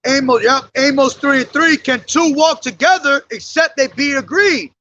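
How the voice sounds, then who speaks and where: A very high, bright-toned man preaching outdoors, his voice worn hoarse. He reads scripture in a slow, measured way and then presses each point with "man" and "all right?". The recording is dull and lo-fi. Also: no echo. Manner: emphatic, calls listeners "man", reads scripture slowly. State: hoarse from days of yelling. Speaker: street preacher. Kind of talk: street sermon with scripture reading. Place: outdoors on a street.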